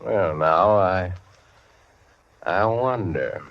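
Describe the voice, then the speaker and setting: An actor playing an enigmatic, musing gentleman in a deliberate 1940s radio drama style. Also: low-pitched, exaggerated voice. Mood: sly, musing. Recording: dull vintage radio recording.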